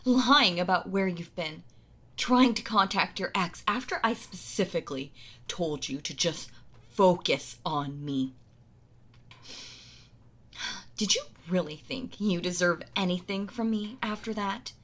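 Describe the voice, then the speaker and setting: A woman playing a possessive, sweetly cruel yandere wife in an intimate roleplay audio drama, gloating and mock-tender as she toys with her captive. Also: on the low side. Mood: accusing, bitter. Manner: slow taunting delivery, breathy soft laughs, mock-tender.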